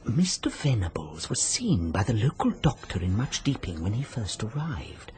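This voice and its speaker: A man's voice: fairly low pitch, sing-song, moderate pace, darkish tone. A male actor with a British accent playing a fussy, obstinate busybody in a radio drama, hesitant, prim and over-polite. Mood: insistent. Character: fussy, apologetic, obstinate little busybody gentleman.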